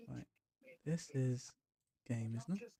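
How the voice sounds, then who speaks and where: A man's voice: medium pitch, lively intonation, slow pace, gravelly, heavy background noise. A man chatting casually as he co-hosts a live gaming stream.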